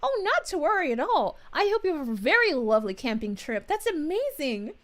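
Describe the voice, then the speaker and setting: A woman's voice: sits high, big pitch swings, a little fast. A woman streaming as a cheerful princess character, greeting her chat in a bubbly, giggly, sing-song voice.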